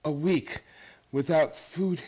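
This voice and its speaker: A man's voice: fairly high pitch, takes it slowly, warm tone, breathy edge. A man acting in a radio play as an obsessive, grandiose believer in his own mental powers, lecturing intensely and emphatically. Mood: fervent lecturing.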